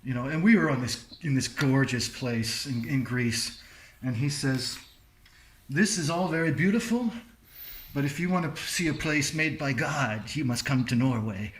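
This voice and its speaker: A man chatting casually as a podcast host, telling stories in an animated way. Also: mid-range voice, normal speed, slight background noise, poor audio. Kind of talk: casual chat.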